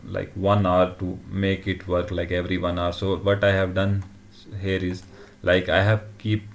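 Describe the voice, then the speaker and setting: A man presenting an informal technical demo in a halting way, often checking in with short tag questions. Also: low-pitched, creaky, slight background noise. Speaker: tutorial presenter.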